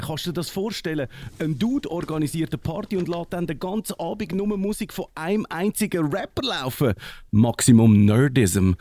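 A man with a Swiss German accent, a rapper giving a casual, slangy shout-out for a radio show. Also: on the high side, no echo. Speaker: rapper sending a radio greeting.

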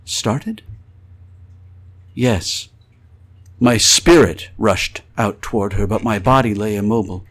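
A man's voice: fairly low pitch, slow pace, pauses often. Theatrical, anguished ghost of a man, acted out. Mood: haunted, grave. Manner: theatrical, florid, swelling from hushed to frantic cries. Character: anguished male spirit trapped outside his undead body. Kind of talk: old-fashioned melodramatic horror radio monologue.

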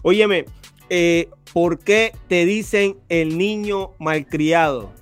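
High-voiced, slow-speaking male podcast host. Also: clean tone, soft background music. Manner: slow, deliberate questions with many "eh" fillers. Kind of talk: casual interview.

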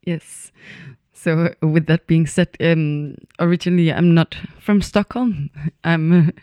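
A young adult dance student from Denmark, speaking thoughtfully in a reflective conversation, pausing often with fillers. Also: medium pitch, fairly even intonation, mellow, clear, resonant tone, no echo. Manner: thoughtful, hesitant, many pauses and fillers.

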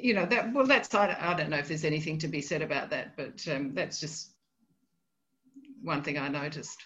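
A woman's voice: sits low, normal speed, fairly bright tone, lo-fi sound. A woman with an Australian accent, a literary scholar on a podcast, talking about a short story in a hesitant, thinking-aloud way with many ums and restarts. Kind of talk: podcast discussion of a novel.

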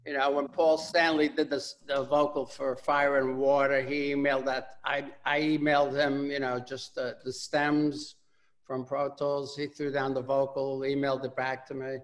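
A man's voice: mid-range voice, normal speed, poor audio. Older man, Bronx accent, guitarist guest, casual rambling chat, lots of fillers. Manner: relaxed, rambling, many fillers.

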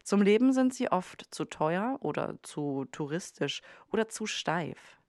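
A woman's voice: on the low side, lively intonation, unhurried, a little mellow, dry recording, clean studio sound. A woman reading scripted podcast narration as the host.

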